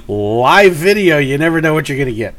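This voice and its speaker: Man, 40s, sniffly from a cold, chatty and animated, rambling at a home webcam. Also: fairly high pitch. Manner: chatty, upbeat, rambling with many fillers. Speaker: YouTube host. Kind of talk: live Q&A and mail call. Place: home office with shelves of collectibles.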